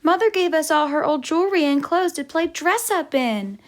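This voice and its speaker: Lady doing a bossy little sister in a kids story, high voice, singsongy and showing off. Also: lively intonation, normal speed. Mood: loud, boastful. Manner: loud, taunting sing-song. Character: bossy, boastful little sister playing a princess.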